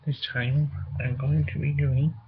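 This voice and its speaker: Casual male presenter, unscripted and halting, slow and flat, dull home recording. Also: medium pitch, breathy edge. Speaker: presenter of a read-along video. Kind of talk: casual video introduction.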